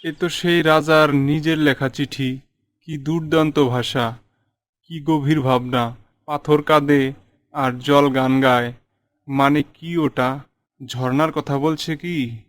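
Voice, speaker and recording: A man voicing an earnest, wondering young treasure hunter in a cartoon fairy tale, speaking deliberately. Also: a little high, steady tone, dry recording. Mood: awed, curious.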